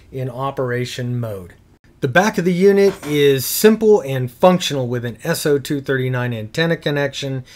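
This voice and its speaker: A man in his fifties giving a casual, plain-spoken product review in an easygoing explaining way. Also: fairly high pitch. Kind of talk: casual scripted review narration.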